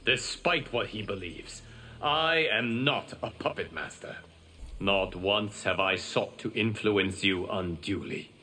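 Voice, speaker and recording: An actor playing a calm, wise godlike guardian in a video game, speaking in measured, formal lines. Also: male voice, on the low side, breathy edge, exaggerated voice. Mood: solemn, assured. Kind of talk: dramatic video game dialogue.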